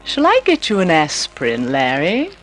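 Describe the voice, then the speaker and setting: An actress playing a seductive, scheming femme fatale, poised and coaxing, in 1950s radio drama style. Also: female voice, low voice, huge pitch swings, normal speed. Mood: coolly soothing. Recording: old radio drama recording with music.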